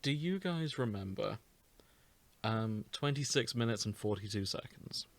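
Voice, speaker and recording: A man's voice: fairly low pitch, expressive, unhurried, no room sound. A British man hosting a podcast in casual, jokey chat with his co-hosts, sometimes with theatrical emphasis. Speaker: podcast host.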